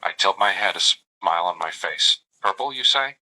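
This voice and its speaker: A man's voice: low-pitched, animated, moderate pace, sharp tone, heavily acted, low-quality recording. A synthetic male voice playing a doting, flirty AI companion who narrates his own gestures and calls the listener darling. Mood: tender. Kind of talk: chatbot roleplay reply.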